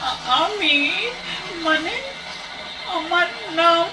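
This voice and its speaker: High-pitched, slow, actress as anxious tearful young woman, pleading, repeated phrases, radio play, slightly distant. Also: heavily acted. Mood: hesitant, earnest. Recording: distant mic, faint noise.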